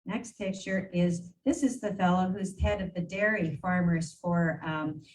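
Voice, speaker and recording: A woman's voice: lowish voice, unhurried, a little mellow. A woman reporting to a council meeting, chatty and rambling, with many fillers and restarts. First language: English. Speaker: regional council warden reporting to mayors. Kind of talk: meeting report, casual and unscripted. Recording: distant voice, faint room noise.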